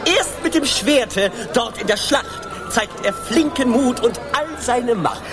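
An actress playing a playful court jester in a radio play, declaiming rhymes in a theatrical sing-song. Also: female voice, fairly high pitch, lively intonation, slow. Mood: mischievous, showy. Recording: music behind.

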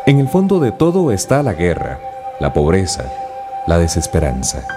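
Male narrator, very low register, solemn yet expressive documentary read, music underneath. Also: normal speed. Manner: solemn, rhetorical.

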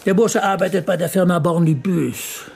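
A man's voice: sits high, normal speed, gravelly, darkish tone, husky, heavily acted. An actor playing a middle-aged, well-off, controlling father in a radio crime drama, formal and disapproving in manner. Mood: formal, insistent. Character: well-off, controlling bourgeois father hiring a detective.

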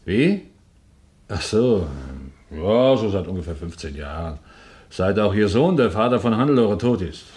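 Casting: older rustic farm manager, low voice, very animated, folksy and awkward, radio play. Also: male voice, unhurried. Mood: chatty. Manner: rambling, folksy, often quotes his wife. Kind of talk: German radio crime drama acting.

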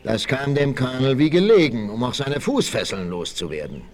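A man's voice: medium pitch, lively intonation, moderate pace, close to an everyday voice. A man giving scripted dramatic narration in the manner of a 1960s radio play.

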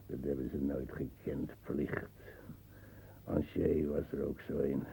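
Husky, gravelly old army veteran. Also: male voice, extremely low pitch, flat delivery, dark tone, exaggerated voice. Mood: scornful. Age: older. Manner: rambling, disdainful, halting. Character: grumbling old colonial army veteran, gun collector. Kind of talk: radio play acting.

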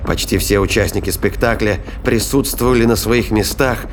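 A man giving scripted dramatic narration of a science fiction thriller in a deliberate, suspenseful manner. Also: fairly low pitch, fairly even intonation, slight background noise. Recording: music behind the voice.

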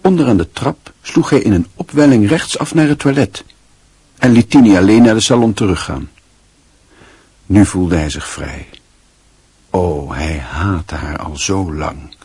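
An actor playing a dry, wry literary narrator in a radio play, with a husky voice. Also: male voice, deep voice, measured pace, pauses often, dark tone, dry recording, full character voice. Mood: wry, reflective.

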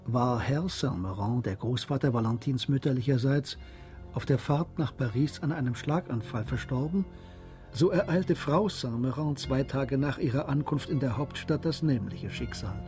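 A man's voice: medium pitch, normal speed, darkish tone, faint music behind. A man reading scripted literary narration as the narrator of a radio drama.

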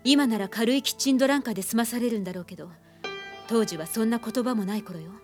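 An actress playing a composed, haunted psychiatrist, speaking in a measured, grave way in a Japanese radio drama. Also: female voice, on the high side, soft background music, close to an everyday voice. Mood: somber, reflective. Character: composed, haunted female psychiatrist telling her dark past.

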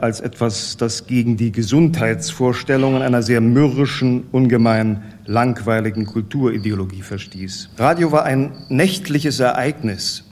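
Mid-pitched man, measured, over faint music. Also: a little mellow. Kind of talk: scripted literary essay reading.

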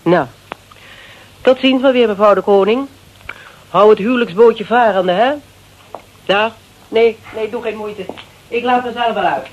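A woman's voice: medium pitch, unhurried, long pauses, highs cut off, close to an everyday voice. Actress as a terse female detective, noisy recording. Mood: cool, wry. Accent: Netherlands Dutch. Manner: terse, dry, pointed questioning. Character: cool tough female private detective, wry, probing. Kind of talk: radio drama acting.

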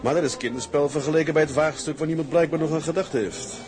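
A man's voice: on the high side, fast, close to an everyday voice. Man acting as thoughtful sea captain over music. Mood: sober, reflective. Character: weary, thoughtful male tugboat captain, plain-spoken seaman. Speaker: actor in an audio drama. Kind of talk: dramatic dialogue. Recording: music behind the voice.

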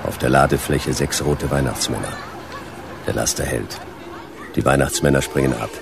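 An actor playing a laconic, world-weary private detective in a sci-fi radio play, speaking in terse deadpan noir style. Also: male voice, rumbling low, normal speed, gravelly, crowd noise, background noise. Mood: deadpan narration.

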